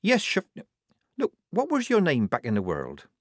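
Level-headed young female recruit, male narrator acting, practical, lifted pitch, dry recording. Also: huge pitch swings, a little slow, dry recording, exaggerated voice. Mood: practical, cautious. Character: sensible young woman soldier, disguised as lad.

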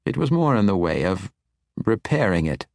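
A man voicing an eccentric, kindly old wizard in an audiobook, animated, rambling and full of exclamations. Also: sits low, normal speed, gravelly, no room sound, clean studio sound, heavily acted. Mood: boastful.

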